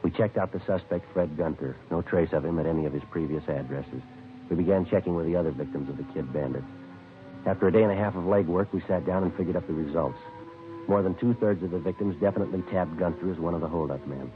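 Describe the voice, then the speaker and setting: Man acting a real deep deadpan american cop, clipped and businesslike, old muffled radio crime show. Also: fast, lightly acted. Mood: flat, factual. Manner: deadpan, matter-of-fact. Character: terse, businesslike male police detective. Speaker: lead actor and narrator. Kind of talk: clipped 1950s radio police-procedural narration and dialogue. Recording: muffled vintage radio, faint music.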